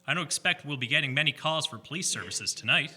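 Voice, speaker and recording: A man acts as a dutiful small-town police constable in a radio drama. He is hearty and declamatory. The voice is piercingly bright and swings widely in pitch. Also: animated, fast, slightly rough, full character voice. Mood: friendly concern.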